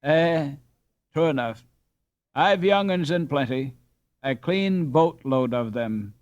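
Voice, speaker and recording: A man playing a folksy, unhurried old-time boat skipper. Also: a little high, lively intonation, no room sound, dull audio. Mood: bashful, sly. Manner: halting, folksy, rustic speech. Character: gruff pipe-smoking Dutch boat skipper, a father, unhurried.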